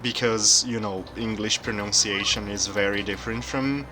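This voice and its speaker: A soft-spoken man from Brazil, a native Portuguese speaker, chatting calmly while streaming a game. His accent is hard to place and often taken for German. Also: on the low side, moderate pace, background noise. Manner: soft-spoken, quiet and calm. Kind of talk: casual live-stream chat while gaming. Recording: game music playing behind.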